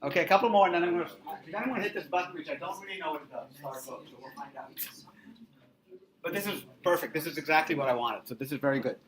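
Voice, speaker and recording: A man teaching a class, loud and upbeat, thinking aloud as he runs an activity in a classroom. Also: fairly high pitch, fast talker, rough recording. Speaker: instructor. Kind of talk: classroom lecture.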